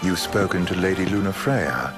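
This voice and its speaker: An actor playing a sly imperial villain in a video game, pompous and silkily insinuating. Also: male voice, low-pitched, moderate pace, lightly acted. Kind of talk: video game cutscene acting. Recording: voice over loud game music.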